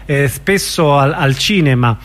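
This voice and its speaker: A man with an Italian accent hosting an informal radio interview, phrasing his questions in a hesitant, halting way. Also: a little high, fairly bright tone.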